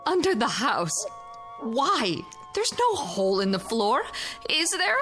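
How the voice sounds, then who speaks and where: A woman's voice: high voice, sing-song, slow pace, fairly bright tone, soft background music, heavily acted. An actress playing a frightened, distraught mother in a radio drama, fretful and questioning. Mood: worried, hushed. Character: frightened, distraught young mother searching for her daughter.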